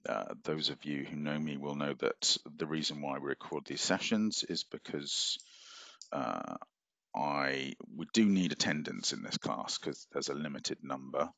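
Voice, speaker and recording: Middle-aged man, university lecturer, very deep voice, bright gravelly tone, dry webcam sound, rambling with fillers. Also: expressive, a little slow, no echo. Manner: rambling, many fillers and restarts. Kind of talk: recorded lecture walking through a syllabus. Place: office at a desk, screen-sharing.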